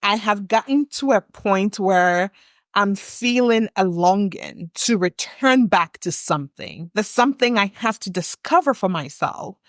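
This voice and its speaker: A woman's voice: medium pitch, expressive, unhurried, no echo, clean studio sound. A young adult woman from West Africa, co-hosting a reflective podcast conversation and building her points in long, earnest runs. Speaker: podcast co-host.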